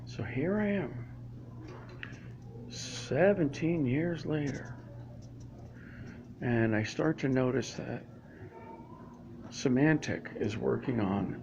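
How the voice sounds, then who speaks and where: Gravelly man rambling in a library. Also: medium pitch, lively intonation, takes it slowly, pauses often, dull audio, background noise. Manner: rambling, restarts, trails off into asides. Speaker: vlogger streaming live. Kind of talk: rambling live stream monologue. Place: public library indoors. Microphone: phone or laptop camera.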